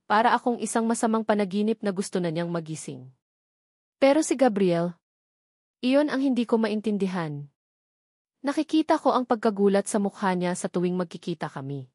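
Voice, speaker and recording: Woman, story narrator, scripted first-person drama, medium pitch, dry sound. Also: expressive, moderate pace, long pauses, a little mellow, no echo.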